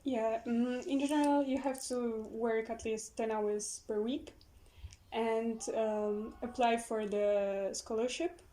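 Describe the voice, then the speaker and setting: A young woman speaking English as an as a podcast guest, giving brief, halting answers. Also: a little high, steady tone, mellow. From Poland. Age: young adult. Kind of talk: casual chat.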